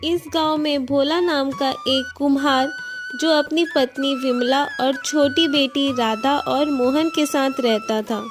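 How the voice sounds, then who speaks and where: Slow, high-pitched woman narrating a story. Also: fairly even intonation, music in the background. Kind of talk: scripted Hindi moral story narration.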